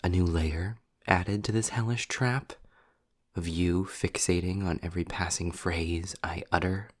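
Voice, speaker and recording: Slow, low-voiced sardonic demon played by a man. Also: steady tone. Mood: sarcastic, exasperated. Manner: sarcastic, deliberate, sighing. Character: sardonic, world-weary male demon trapped in hell. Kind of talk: intimate roleplay audio drama.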